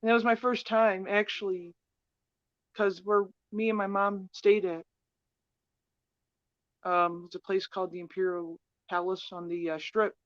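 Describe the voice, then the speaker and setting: A woman in her forties telling personal stories casually, in a halting, hesitant way. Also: mid-range voice, flat delivery, dry recording, lo-fi sound. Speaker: stream panelist.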